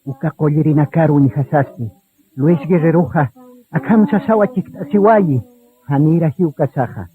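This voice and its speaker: A man's voice: a little high, normal speed, narrow, tinny audio. A man reading scripted dramatic narration for a radio drama. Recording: echoey, band-limited radio recording.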